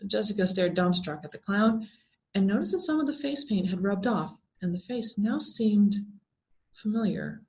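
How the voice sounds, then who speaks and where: A woman reading a scary story aloud as a dramatic, expressive scripted narration full of suspense. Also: medium pitch, normal speed, low-quality recording. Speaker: story reader.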